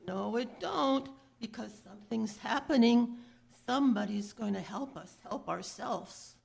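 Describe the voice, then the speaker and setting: A woman playing a stubborn, streetwise apple seller in a 1930s radio drama, with folksy, emphatic speech and a gravelly, husky voice. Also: a little high, lively intonation, unhurried, a little mellow, exaggerated voice. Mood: insistent, excited. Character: feisty streetwise apple-selling beggar woman, stubborn, rallying.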